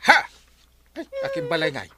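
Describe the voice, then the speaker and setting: A man's voice: fairly high pitch, wildly swooping pitch, moderate pace. A man playing a bumbling middle-aged village captain in a comedy radio drama, exclaiming and easily flustered. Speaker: barangay captain.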